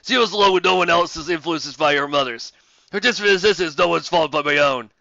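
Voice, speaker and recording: A man acting a gravelly, sorrowful husband. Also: a little high, fairly quick, bright, forceful tone, no echo, lo-fi sound. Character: sorrowful, self-blaming husband confiding in a friend. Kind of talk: dramatic fan fiction reading.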